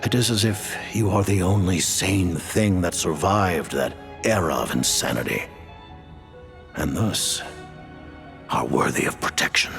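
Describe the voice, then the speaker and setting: Ancient aloof game schemer, low gravelly voice, expressive intonation, formal, imperious, music behind. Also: male voice, measured pace, full character voice. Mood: aloof, reflective. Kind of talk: video game cutscene acting. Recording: background music.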